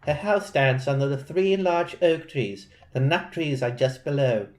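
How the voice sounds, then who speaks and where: A man's voice: mid-range voice, unhurried, a little mellow, pitched above the performer's own voice, natural voice. Man doing a sweet little British girl. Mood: chirpy. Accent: put-on British.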